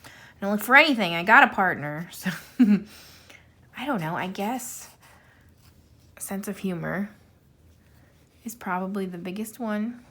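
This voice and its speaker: A woman's voice: medium pitch, lively intonation, measured pace, long pauses, bright, forceful tone. A woman in her thirties chatting casually to camera, rambling and self-deprecating, with many fillers. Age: 30s. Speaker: YouTuber answering a tag questionnaire. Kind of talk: casual chat while coloring.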